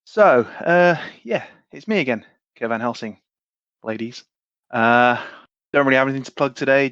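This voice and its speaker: A man's voice: mid-range voice, a bit bright, smooth, no echo, muffled recording, lightly acted. A man playing a laid-back, cheeky male gossip who rambles through asides in a tabletop game.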